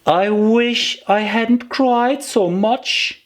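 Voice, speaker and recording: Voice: medium pitch, drawn-out words, voice pushed up. A storybook narrator voicing a forlorn, earnest little girl, plaintive and self-pitying in a children's tale. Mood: rueful, self-pitying.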